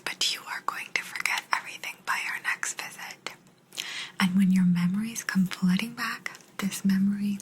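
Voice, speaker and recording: A woman voicing an aloof, secretive alien abductor in a soft, breathy, unhurried whisper for an ASMR sci-fi roleplay. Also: mid-range voice, close to an everyday voice. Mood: secretive, gently firm. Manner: unhurried, hushed, personal attention. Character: aloof female alien abductor, calm, secretive, gently apologetic.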